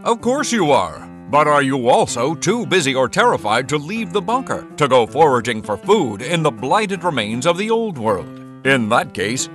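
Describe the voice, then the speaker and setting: A man voicing a slick, upbeat pitchman with sinister cheer in a parody advert. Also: a bit bright.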